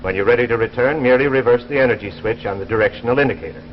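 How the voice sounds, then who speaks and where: An actor playing a confident, reassuring scientist who explains things briskly in 1950s drama style. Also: male voice, mid-range voice, a little hiss or hum, lightly acted. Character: confident, reassuring scientist directing a space mission test. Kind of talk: 1950s television drama acting. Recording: muffled old broadcast with background sound.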